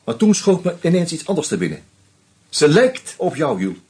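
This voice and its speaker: An actor playing a shrewd screenwriter who methodically unmasks a killer, with a husky voice and dry irony. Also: male voice, on the high side, wildly swooping pitch, normal speed, a little mellow, exaggerated voice. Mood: accusing. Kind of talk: radio play acting.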